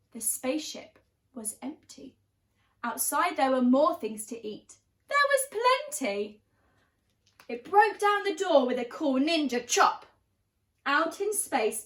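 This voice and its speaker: A woman's voice: sits high, fairly bright tone. A woman reading a children's picture book aloud expressively, with dramatic emphasis on key words. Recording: roomy, echoing room sound.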